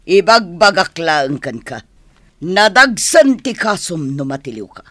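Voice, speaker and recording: A Filipino woman acting in a radio drama as an excitable, complaining young village woman. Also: lowish voice, unhurried, no echo, studio quality, exaggerated voice. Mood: worried, admonishing.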